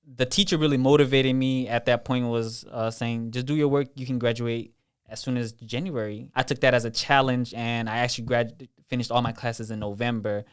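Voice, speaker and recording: A man's voice: mid-range voice, fairly even intonation, brisk, clear, resonant tone. A man in his twenties from Kenya, telling his life story as an interview guest in a plain, matter-of-fact way. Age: 20s.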